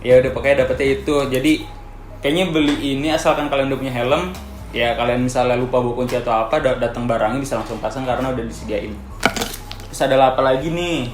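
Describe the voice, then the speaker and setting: Casting: young male vlog host, Jakarta accent, colloquial and excitable delivery, audibly echoey room, light music behind. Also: medium pitch, quick pace. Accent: Jakarta Indonesian. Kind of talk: casual unboxing chat.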